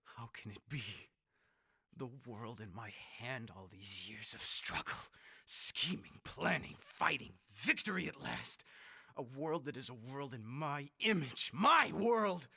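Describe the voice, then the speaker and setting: An actor playing an ailing, tyrannical old dictator in a radio drama, imperious and demanding, with a raspy voice. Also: male voice, medium pitch, sing-song, unhurried, exaggerated voice. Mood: anguished, brooding. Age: older. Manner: imperious, demanding, erupting from brooding into shouts.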